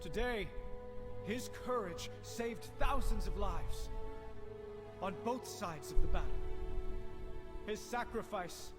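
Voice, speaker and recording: Noble young leader, high-pitched, measured, formal, game cinematic, loud music behind. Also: male voice, expressive. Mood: reverent.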